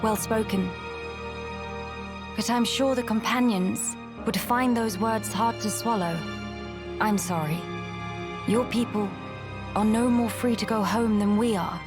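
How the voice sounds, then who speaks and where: A woman's voice: medium pitch, a little slow, long pauses, over music, lightly acted. A woman playing a dignified, earnest young noblewoman in a video game, with formal and precise speech. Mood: sympathetic.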